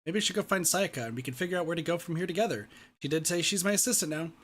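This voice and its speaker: Man playing a fast-talking, earnest teenage schoolboy. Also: a little high, close to an everyday voice. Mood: thoughtful. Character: earnest, ordinary teenage schoolboy narrating his thoughts. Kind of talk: reading visual novel narration aloud.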